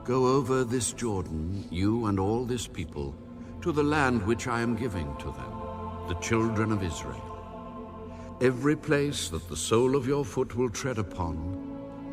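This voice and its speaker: An actor as slow, solemn God over music. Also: male voice, mid-range voice, natural voice. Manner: slow, measured, weighty delivery. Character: God speaking, solemn, commanding, reassuring male. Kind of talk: dramatised audio Bible reading. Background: new-age music.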